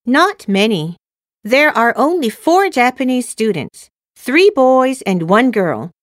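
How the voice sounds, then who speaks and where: Woman acting cheerful high-voiced student girl, slow. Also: sing-song, no room sound, clean studio sound. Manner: carefully enunciated, textbook-like. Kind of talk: scripted English listening-test dialogue.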